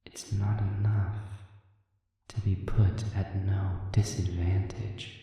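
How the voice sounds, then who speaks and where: A performer voicing a sadistic, manipulative demon in a scripted audio roleplay, silkily taunting with slow, deliberate pauses and breathy near-whispers. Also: low-pitched, level tone. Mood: menacing, measured. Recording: echoing hall reverb, distant dark sound.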